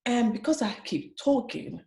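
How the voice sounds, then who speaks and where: A woman's voice: on the high side, sing-song, measured pace, vocal fry, warm tone, echoey room. A woman from Africa hosting an interview, speaking earnestly and emphatically, often repeating herself for stress. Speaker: host. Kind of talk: interview conversation.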